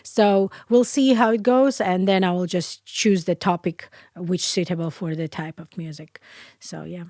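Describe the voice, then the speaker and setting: Chatty singer, dry studio sound. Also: female voice, lowish voice, measured pace, no echo. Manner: chatty, animated, frequent fillers and restarts. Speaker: guest singer. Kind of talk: casual interview chat. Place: small recording studio. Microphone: studio microphone.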